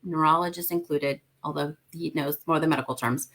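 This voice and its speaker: Earnest lower-pitched woman from Mexico. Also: fairly even intonation, brisk, fairly bright tone. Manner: earnest, emphatic, repeats key points. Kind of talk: casual conversational interview.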